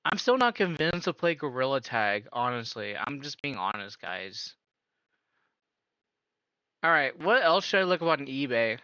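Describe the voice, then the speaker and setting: A man streaming a casual reaction, his voice bright and pushed forward. He keeps asking why in disbelief. The sound is dry and a bit dull, like a modest home setup. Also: mid-range voice, very animated, clean tone, no echo. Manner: incredulous, asks rapid repeated questions.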